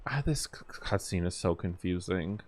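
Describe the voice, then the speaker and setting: A man with a fairly low voice gives casual reaction commentary on a game. He talks informally, thinking aloud and often putting his thoughts as questions. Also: animated, moderate pace, darkish tone. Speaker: gaming reaction host.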